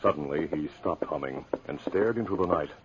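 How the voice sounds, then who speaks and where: A man's voice: rumbling low, fairly quick. A man with an American accent narrating a 1950s radio drama in a grand, theatrical manner. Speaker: announcer and narrator. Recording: dull, noisy old radio recording.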